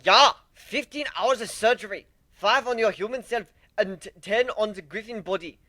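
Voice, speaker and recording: A man voicing an excitable ship's surgeon in a fantasy story. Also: high-pitched, moderate pace, dry recording, voice pushed up. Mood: excited.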